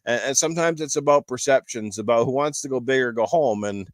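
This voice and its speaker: A man's voice: mid-range voice, brisk, no room sound. A man hosting a casual gaming panel show, chatting informally with some mild swearing. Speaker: host of a gaming talk show.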